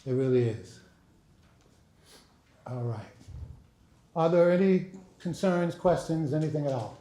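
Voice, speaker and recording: Older man, speaker at a library event, fairly high voice, echoey hall, folksy and chatty. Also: lively intonation, unhurried, warm tone. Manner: booming oratory with rising emphasis; chatty, laughing, folksy asides. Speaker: speaker and author. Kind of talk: dramatic reading then casual talk to audience. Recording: echoey room.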